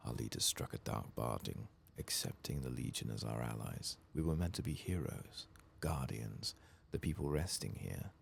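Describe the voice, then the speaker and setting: Man softly reading grave, very deep despairing elven exile. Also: normal speed, gravelly, few pauses, slight background noise, exaggerated voice. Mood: grave, ashamed. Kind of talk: game quest dialogue read aloud softly.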